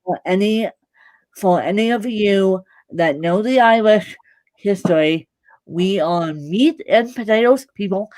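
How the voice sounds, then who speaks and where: A woman speaks on a live podcast over a video call. She talks very slowly and deliberately, and she lands her points with emphatic humor. The sound is dry and close, with no room echo. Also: mid-range voice, darkish tone. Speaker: podcast guest and fellow podcast host. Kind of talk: casual video interview.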